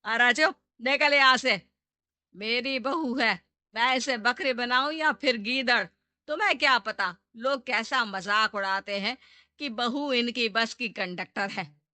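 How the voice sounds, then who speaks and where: A woman voicing a bossy, sharp-tongued middle-aged mother-in-law who scolds bluntly in a moral story cartoon. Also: a little high, a little slow, dry recording. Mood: indignant. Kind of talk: animated moral-story character acting.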